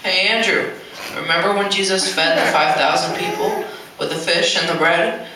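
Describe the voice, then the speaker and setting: A performer playing an easygoing, excitable male disciple in an amateur puppet show, with a playful manner. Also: medium pitch, normal speed, close to an everyday voice. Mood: amused. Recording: very echoey, distant mic, clicks and noise.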